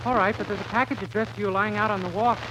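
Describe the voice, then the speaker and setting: A very noisy old radio comedy recording of a man acting a silly, innocent young man. He has a very high voice and talks quickly, earnest and guileless. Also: fairly even intonation, lightly acted. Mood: naive, earnest.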